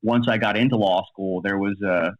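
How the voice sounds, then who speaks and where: A man's voice: fairly low pitch, steady tone, moderate pace, no room sound, muffled recording. A middle-aged American man from Maryland, an attorney giving rambling, conversational interview answers. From Maryland, United States. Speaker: podcast guest, attorney.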